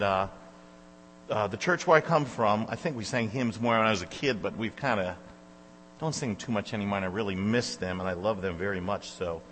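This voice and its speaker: A man in his forties from Michigan, a guest preacher giving a rambling, informal introduction to a church congregation. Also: on the low side. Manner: loud, chatty, rambling with many fillers. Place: church sanctuary. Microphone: handheld or stand microphone. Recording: echoey church hall with mains hum.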